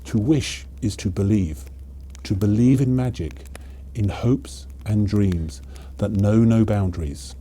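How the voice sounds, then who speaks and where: Man playing young female storybook elf, confident, solemn, mellow, husky. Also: lowish voice, takes it slowly. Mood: serene confidence. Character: young, beautiful, confident female elf, earnest watcher of wishes.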